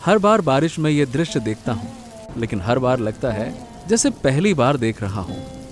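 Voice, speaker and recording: A man playing an affectionate, eager young husband and son in a cartoon story, warm and enthusiastic. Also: mid-range voice, very animated, moderate pace, over music, lightly acted.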